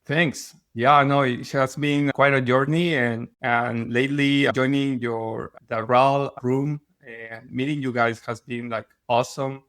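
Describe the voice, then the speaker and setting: A man's voice: medium pitch, fairly even intonation, measured pace, few pauses, a bit bright, clear, resonant tone, dry recording. A middle-aged man from Peru with a Peruvian Spanish accent, talking conversationally as a podcast guest, restarting phrases as he goes. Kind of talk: conversational interview.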